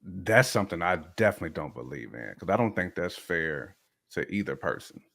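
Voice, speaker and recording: A man's voice: low voice, very animated, fairly quick, creaky, a bit bright. A man chatting casually on a podcast, giving opinions in informal, slangy, emphatic phrases. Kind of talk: casual chat, opinionated commentary.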